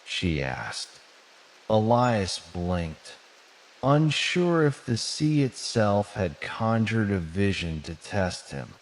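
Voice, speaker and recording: A man narrating a soothing bedtime story in a gentle, measured, dramatic storybook manner. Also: on the low side, big pitch swings. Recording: long cavernous reverb.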